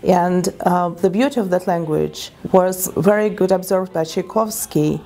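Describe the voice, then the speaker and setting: A middle-aged woman with a Russian accent, a native Russian speaker, speaking as a diction coach in a documentary interview with a lapel mic, thoughtful and unhurried with small grammar slips. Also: lowish voice, mellow.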